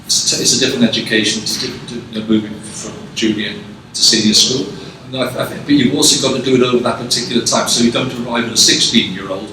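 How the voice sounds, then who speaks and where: A man giving a conference presentation and taking questions, measured and prone to restarts, recorded from a distance in a conference hall. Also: mid-range voice, steady tone, pushed and bright, a little hiss or hum. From England. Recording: echoey hall, distant mic.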